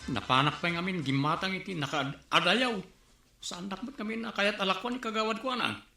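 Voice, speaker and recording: Man acting a wary Ilocano drinker, high bright voice, echoey room. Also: brisk, faint music behind, full character voice. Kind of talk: radio comedy drama acting.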